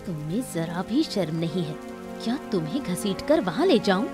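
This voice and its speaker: A woman's voice: on the low side, sing-song, moderate pace, over music. A woman voicing a cruel, quarrelsome young daughter-in-law in a cartoon melodrama, taunting and threatening harshly. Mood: scornful, angry. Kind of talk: Hindi cartoon family melodrama.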